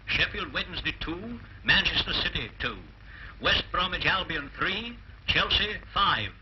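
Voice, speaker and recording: An actor playing a radio announcer who reads football results in a measured, formal way. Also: male voice, high-pitched, expressive, noisy background. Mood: steady. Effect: radio broadcast. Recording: dull radio sound from an old film.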